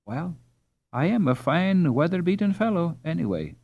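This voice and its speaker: A man voicing a rough, burly, lovestruck boy in a storybook, cocky and boastful. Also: on the high side, very animated, normal speed, no echo, dull audio, voice pushed up. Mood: self-satisfied.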